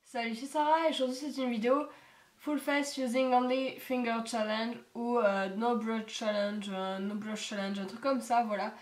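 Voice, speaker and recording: A woman's voice: fairly high pitch, steady tone, moderate pace, echoey room, soft background music. A teenage girl with a cold and a stuffy nose, chatting casually through a makeup tutorial with lots of fillers. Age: teens. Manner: chatty, rambling with many fillers. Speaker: beauty vlogger. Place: home.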